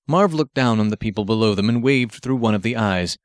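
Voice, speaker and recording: A man's voice: lowish voice, animated, fairly quick, no room sound, studio quality. A man narrating fiction as an audiobook reader, telling the story from a script.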